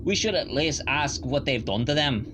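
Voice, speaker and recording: A man's voice: fairly high pitch, expressive, normal speed, background noise, lightly acted. A man playing a brash, impatient, blunt base crew member in a fan audio drama. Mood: questioning.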